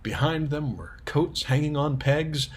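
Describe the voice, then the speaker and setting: A man's voice: fairly high pitch, a little slow, slight background noise. A man narrating a storybook expressively as a storyteller.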